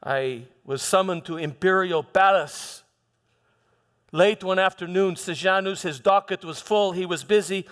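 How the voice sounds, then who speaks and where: Man acting as proud Roman governor, defensive, high-pitched, forceful, declamatory, theatrical monologue, long hall reverb. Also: clear, resonant tone. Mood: proud, reminiscing. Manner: declamatory, emphatic, halting with repeated words. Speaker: preacher performing a dramatic monologue. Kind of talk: first-person theatrical church monologue. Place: large hall, live church performance.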